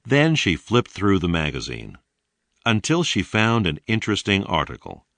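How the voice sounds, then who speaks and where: A man reading scripted narration for an English language lesson in a deliberate, carefully enunciated way. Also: deep voice, huge pitch swings, a bit bright, no echo.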